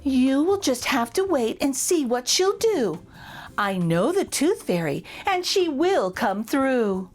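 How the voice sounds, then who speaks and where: Brief: gentle storybook mama shark, soothing and sure, slow and lilting, a woman reading her part. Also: high-pitched, very animated, exaggerated voice. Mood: reassuring. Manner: soothing, confident. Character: calm reassuring mother shark.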